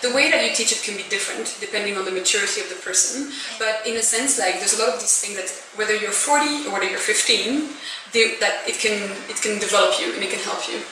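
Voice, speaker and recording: A woman's voice: medium pitch, fast, hardly any pauses. Young female founder, informal interview, earnest explainer. Heavy room echo with noticeable background noise. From born in Belgium, grew up in rural France. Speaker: social enterprise founder and managing director being interviewed. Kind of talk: informal interview, telling her story. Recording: very echoey room, distant mic, background noise.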